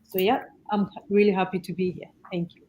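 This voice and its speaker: Ghanaian woman speaking in halting phrases. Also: medium pitch, flat delivery, a little mellow, slight background noise. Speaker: panelist, entrepreneur. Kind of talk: conversational self-introduction at an online panel.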